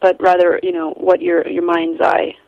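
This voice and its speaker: A woman's voice: on the low side, level tone, normal speed, no room sound, lo-fi sound. Rambling Icelandic woman, American-sounding. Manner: rambling with many restarts, laughs easily. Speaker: photographer guest. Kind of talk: casual interview conversation.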